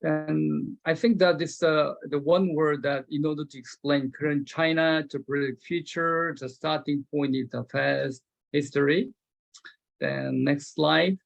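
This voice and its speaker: Korean-accented male panelist, slightly high, gravelly voice, slow halting slide talk. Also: fairly even intonation. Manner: hesitant, many fillers and restarts, broken phrasing. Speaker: webinar panelist presenting slides. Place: remote, speaking from his location.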